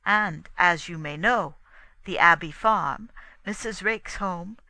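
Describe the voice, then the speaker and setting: A woman voicing a male detective in an audiobook, formal and menacing, making deliberate, pointed, dramatic pronouncements. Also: lowish voice, sharp tone, no room sound, full character voice. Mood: triumphant, declaiming. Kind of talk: dramatic audiobook character dialogue.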